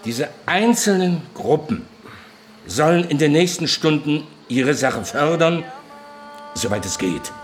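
An actor playing a methodical man at a war council in a radio play, deliberate and pausing weightily. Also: fairly high pitch, expressive, a little hiss or hum. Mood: deliberate, businesslike. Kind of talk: German radio play acting.

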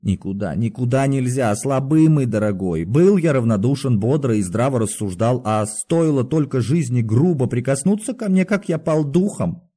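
A man's voice: medium pitch, lively intonation, normal speed, few pauses, warm tone, husky. A man voicing a cultured, weak-willed aging doctor in an audiobook, pleading and exclaiming in educated speech. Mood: bitter, despondent.

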